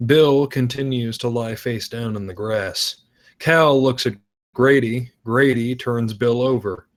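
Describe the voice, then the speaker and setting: A man narrates screenplay directions. His voice is mid-pitched and he reads at a slow, measured pace.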